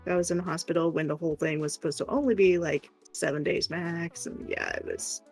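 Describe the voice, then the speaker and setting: A woman's voice: low-pitched, very animated, a little fast, gravelly, a little mellow, slightly rough, faint music behind. A woman chatting casually and candidly as a livestream co-host, rambling informally.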